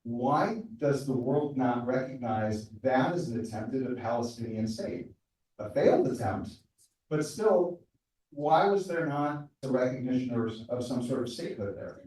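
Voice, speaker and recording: A man's voice: mid-range voice, lively intonation, normal speed, darkish tone. A man in the audience making a statement and then asking a question, stumbling and repeating words as he goes. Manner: hesitant, repeats words as he builds his point. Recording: very echoey hall, far from the mic.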